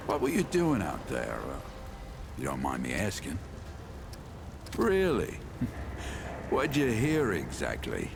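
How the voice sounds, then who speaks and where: An actor playing a genial, folksy equipment smith and survivor in a video game, chatty and welcoming. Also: male voice, low-pitched, wildly swooping pitch, slow, creaky, long pauses, background noise, exaggerated voice. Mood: reassuring. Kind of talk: video game character dialogue.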